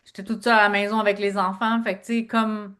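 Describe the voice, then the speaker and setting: A woman's voice: mid-range voice, little pitch movement, quick pace, fairly bright tone. Middle-aged woman, Québécois accent, earnest storyteller, interview guest. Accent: Québécois French. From Quebec. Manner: earnest storyteller, leans on filler phrases. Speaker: guest, speaker and author. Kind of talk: personal interview talk.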